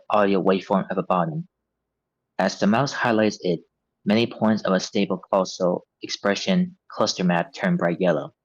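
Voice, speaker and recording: A man reading stage directions aloud as the narrator of a script table read. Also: sits low. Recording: dull, band-limited audio.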